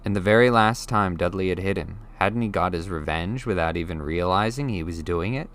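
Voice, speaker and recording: Guy with a lowish voice narrating a storybook, reading from the text. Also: fairly quick, fairly bright tone. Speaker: audiobook narrator.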